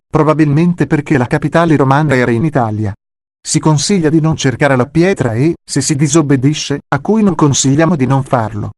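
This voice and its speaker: A man as a fast-talking emergency announcer. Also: a little high, a little mellow, dry recording, studio quality, natural voice. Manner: formal, brisk. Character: formal Italian emergency announcer, adult man. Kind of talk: scripted emergency broadcast announcement.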